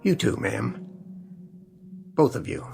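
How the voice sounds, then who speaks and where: A weary, gravelly-voiced man. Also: fairly low pitch, very animated, takes it slowly, darkish tone, faint music behind, full character voice. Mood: subdued. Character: weary, resigned man preparing to flee a freezing city. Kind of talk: radio drama acting.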